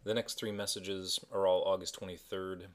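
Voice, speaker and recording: A man's voice: low-pitched, level tone, normal speed. A man narrating informally as he introduces archived messages, in a hesitant, matter-of-fact way.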